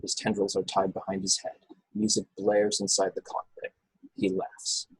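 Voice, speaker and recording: A man's voice: lowish voice, sing-song, normal speed, creaky, slightly rough, no room sound, low-quality recording. A man reading scripted action descriptions as narrator in an informal, unpolished way on an amateur online table read. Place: video call from a room.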